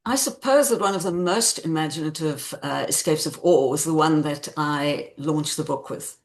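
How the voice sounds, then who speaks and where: Woman writer being interviewed, lowish voice, thoughtful and measured, picks her words carefully. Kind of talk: conversational interview explanation.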